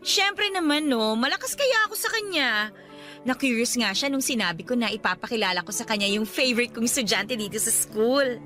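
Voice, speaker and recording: A woman's voice: on the high side, expressive, fairly quick, bright, forceful tone, faint music behind. A woman playing a popular, confident older schoolgirl in a radio drama, gushing and mixing in English. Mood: confident, chummy. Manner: gushing, code-switching into English. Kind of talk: scripted radio drama dialogue.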